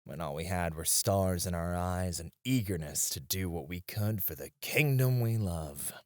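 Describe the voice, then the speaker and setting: A man playing a battle-weary knight in a romantic ASMR roleplay, speaking softly and intimately with tender, wistful devotion to a comrade. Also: deep voice, moderate pace, no echo, clean studio sound, natural voice. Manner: soft, intimate, hesitant with small chuckles.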